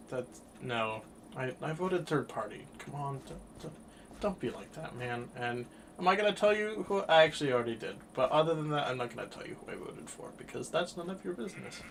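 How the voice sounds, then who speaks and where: A twenty-year-old man streaming a game and chatting casually, rambling through his thoughts with sudden bursts of excitement. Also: fairly high pitch, a little hiss or hum. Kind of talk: casual chat while livestreaming a game.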